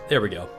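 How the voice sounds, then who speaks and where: A man chatting casually on a live game stream, reading chat aloud and reacting to the game, close to a desk microphone in a small room. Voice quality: deep voice. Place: small room facing a wall.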